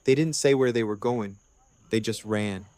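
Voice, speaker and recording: A man's voice: on the low side, normal speed, a little mellow, no echo, natural voice. A man playing a nervous male ex-prisoner in a fan audio drama, earnest and a little stumbling as he pleads.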